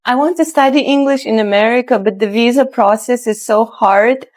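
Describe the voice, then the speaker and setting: A woman plays a student in a short scripted skit, griping in an exaggerated way. Her voice is a little high. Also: normal speed, darkish tone.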